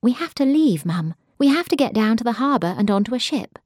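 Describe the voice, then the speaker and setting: A woman's voice: a little high, lively intonation, fairly quick, a little mellow, breathy edge, dry recording, clean studio sound, pitched above the performer's own voice. A woman voicing an urgent young daughter begging her mother to flee. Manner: pleading, urgent.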